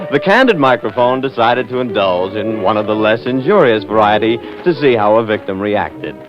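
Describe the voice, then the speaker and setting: An American man hosting and narrating a scripted 1940s radio program. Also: lowish voice. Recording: dull old 1940s radio recording.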